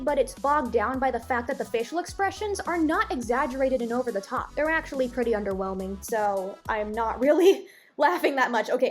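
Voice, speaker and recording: A woman giving conversational, opinionated commentary as a video reviewer, openly exasperated as she reacts to what she watches. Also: a little high. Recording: voice-over with background music. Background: music.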